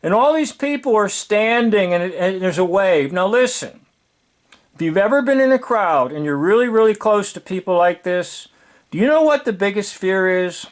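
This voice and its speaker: An older man with an American accent giving informal, opinionated commentary in a sardonic, deliberate way, full of rhetorical questions. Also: high voice, lively intonation.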